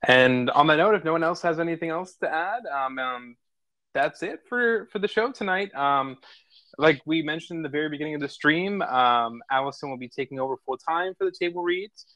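A man's voice: fairly high pitch, lively intonation, a little fast, bright, forceful tone, dry recording. Casual, enthusiastic man with dry close sound. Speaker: host and narrator of online script table reads. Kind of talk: casual feedback chat. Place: online voice-chat stream.

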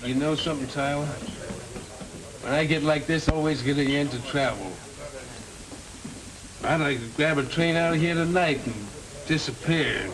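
An actor playing a shifty, slangy small-time crook in 1930s film style, with an American accent. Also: male voice, fairly high pitch, normal speed, long pauses, background noise, close to an everyday voice. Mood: drunk, weary. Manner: slangy tough-guy talk. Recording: old 1930s film soundtrack, background murmur.

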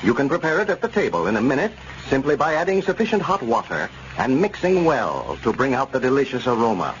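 A man's voice: medium pitch, sing-song, a little fast. A man with an American accent announcing and narrating in the scripted style of vintage radio. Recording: muffled, noisy old radio broadcast.

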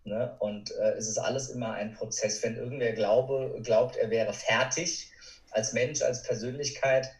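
Casting: man as motivational podcast guest, earnest conversational delivery, clearly roomy sound, slightly far from microphone. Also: medium pitch, fairly even intonation, a little slow. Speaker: podcast guest, coach and speaker. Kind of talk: conversational interview answers, motivational. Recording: roomy echo, distant from mic.

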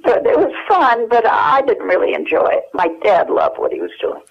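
Older woman, phone-in guest, halting and chatty; muffled telephone sound. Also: on the high side, wildly swooping pitch, creaky. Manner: rambling, halting with many fillers. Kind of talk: telephone interview.

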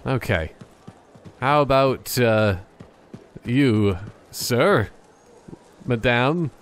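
A man's voice: medium pitch, very slow, a little hiss or hum. A man giving casual, joking gameplay commentary, rambling in a silly, mock-serious way.

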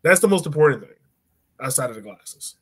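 Higher-pitched man, slangy podcast co-host. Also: animated, a little fast. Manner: slangy, informal. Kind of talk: casual fan chat. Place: indoor room at home, on a video call.

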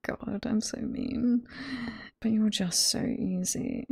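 A woman with a British accent playing a flirty, teasing, sweet head librarian boss in a whispered ASMR roleplay, soft and coy, close to the microphone. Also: medium pitch, steady tone, slow, gravelly, breathy edge, dry recording, full character voice. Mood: teasing, mock-stern. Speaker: voice performer in roleplay.

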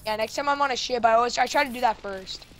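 A woman's voice: on the high side, fast, a little hiss or hum. A teenage girl joking and teasing while playing a game with friends, game music faintly behind. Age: teens. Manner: cheeky, teasing. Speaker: gamer. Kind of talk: casual chat while playing.

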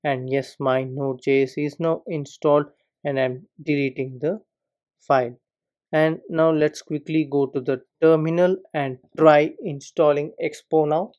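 A man talks through a coding tutorial over his screen recording. His voice sits a little high, and he moves from step to step in a calm, explanatory way. He keeps coming back to the word "particular". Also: measured pace.

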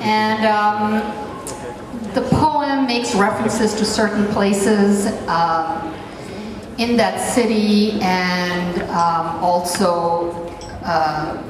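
A middle-aged woman from Pakistan introducing her poem on stage, speaking slowly with many pauses and fillers. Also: mid-range voice, steady tone, background noise. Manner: slow, hesitant, many fillers. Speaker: poet and performer. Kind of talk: spoken introduction at a concert. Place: large hall on a stage. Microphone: stand mic, recorded from far back in the audience. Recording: echoey hall from far off. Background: live band and audience.

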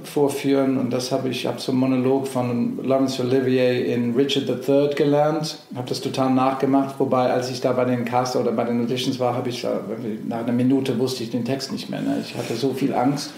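A man's voice: mid-range voice, level tone, brisk, gravelly, few pauses, darkish tone, reverberant. A middle-aged man from England, a native English speaker, chatting casually as an actor guest, with many fillers and restarts. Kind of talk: casual interview chat.

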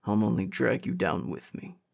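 Man playing a growling, gravelly tough teenage boy. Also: lowish voice, very animated, moderate pace, darkish tone, voice pushed down, heavily acted. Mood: resigned. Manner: growling, snarling, demanding. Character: angry, hardened teenage boy, bitter and wounded.

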